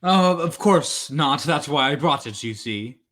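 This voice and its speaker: A man's voice: on the high side, expressive, unhurried, fairly bright tone. A man acting in an old-time radio drama as a cool, conscientious hired killer, with formal, understated politeness. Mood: cool, matter-of-fact.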